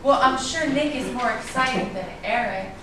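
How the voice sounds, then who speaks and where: A woman's voice: high voice, normal speed, crowd noise, natural voice. A woman playing a defiant, guarded actress in a staged drama reading, with a sarcastic, cutting delivery. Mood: cool, wry. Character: defiant, guarded actress in love with a suspected man. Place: echoey room at a staged reading. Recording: echoey room, distant mic, noisy background.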